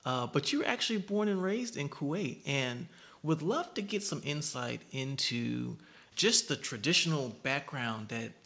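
Enthusiastic guy hosting a podcast, average pitch, chatty and effusive. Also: vocal fry, a bit bright. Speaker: podcast host. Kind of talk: casual podcast interview.

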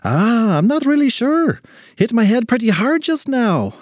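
A man's voice: extremely high pitch, big pitch swings, a little slow, no room sound, studio quality, voice pushed up, exaggerated voice. A man voicing a little forgetful, feisty leprechaun with an Irish accent in a storybook tale. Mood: dazed, confused. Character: little red-bearded male leprechaun, forgetful, feisty and chatty.

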